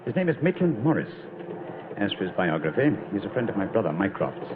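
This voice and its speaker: Brisk british detective, knowing, talks fast, noisy old radio recording. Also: male voice, on the low side, very animated. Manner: crisp, knowing. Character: brisk, self-assured British gentleman detective. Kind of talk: old radio drama acting. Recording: tinny old radio recording, loud noise.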